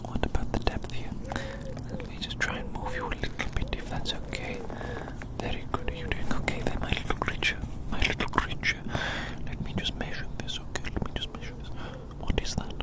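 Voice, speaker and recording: Woman, breathy whisper, ASMR roleplay, fussy curious examiner, repetitive reassuring phrasing. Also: very high-pitched, huge pitch swings, brisk, low-quality recording. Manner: repeats words and phrases softly, fussy and reassuring. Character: fussy, curious examiner measuring a little creature.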